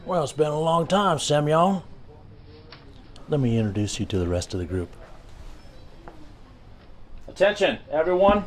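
An actor playing a brisk, authoritative intelligence chief briefing his team in a video game cutscene, businesslike and commanding. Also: on the high side. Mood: collegial, welcoming.

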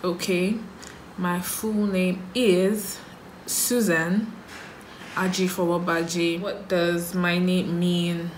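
A Nigerian woman in her thirties talks unhurriedly to her camera, answering her own questions in a relaxed, musing way. Her voice sits a little low. The small room around her echoes, with a faint hiss underneath. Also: slow. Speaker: makeup vlogger. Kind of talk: casual get-to-know-me video. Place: small indoor room, sheet backdrop.

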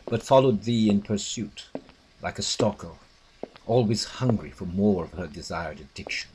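A man's voice: lowish voice, a little mellow, a little hiss or hum, close to an everyday voice, rough recording. A man playing a lovestruck young widowed noblewoman in a theatrical, declamatory Shakespearean soliloquy, yearning and poetic. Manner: declamatory, archaic, romantic delivery.

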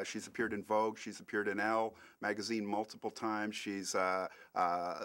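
A man's voice: lowish voice, sharp tone. A man emceeing an event, introducing the next speaker in a hesitant way, with many fillers.

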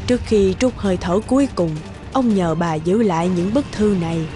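Lady as a earnest gentle storyteller in a cartoon, measured, middle pitch. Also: lightly acted. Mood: solemn, tender. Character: gentle, solemn woman recounting family history. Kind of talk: storytelling in an animated tale. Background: prominent music.

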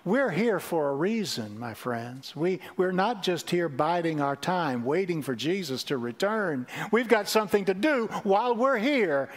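Man, 60s, senior pastor, earnest sermon voice on a headset mic, a touch high-pitched. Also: lively intonation, a little slow, hardly any pauses. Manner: earnest preaching with wide gestures and repeated phrases. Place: church stage with a lectern.

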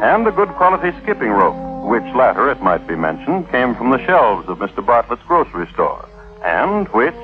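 A man playing a wry true crime storyteller, narrating a scripted 1950s radio drama with deliberate phrasing. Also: medium pitch, close to an everyday voice. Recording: tinny 1950s radio broadcast with music. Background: music.

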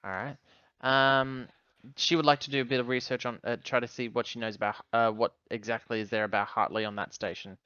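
A man's voice: mid-range voice, fairly even intonation, a little fast, bright, forceful tone, clean tone, dry recording. Male tabletop player, dry muffled sound. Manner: describes character's actions, thinking aloud. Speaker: player in a tabletop roleplaying game. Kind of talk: casual game chat.

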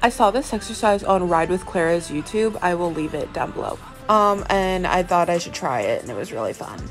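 A woman's voice: on the low side, animated, brisk, faint music behind. A young woman in casual vlog talk, chatty and self-deprecating, narrating her riding over voiceover. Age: young adult. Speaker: equestrian vlogger. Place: indoor riding arena and barn, some outdoors.

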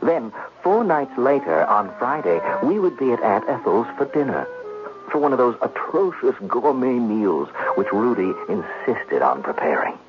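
An actor playing an anxious, scheming gambler in a 1970s radio drama, dry and theatrical, pleading at times. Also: male voice, medium pitch, very animated, moderate pace, natural voice. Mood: calculating. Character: anxious, scheming twin brother deep in gambling debt. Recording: muffled vintage radio broadcast, music.

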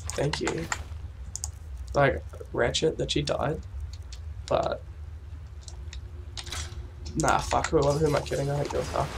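A young man from New Zealand with a New Zealand accent, commentating a game casually while he has a cold, excitable and sweary with lots of fillers. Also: a little high, slow, vocal fry, pauses often. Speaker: let's play gamer. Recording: distant mic with noisy background.

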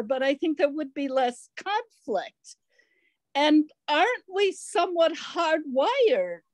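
A woman's voice: high-pitched, darkish tone, dry recording. An older woman on a video call asking an earnest, musing question from home. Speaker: audience member asking a question. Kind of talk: casual discussion.

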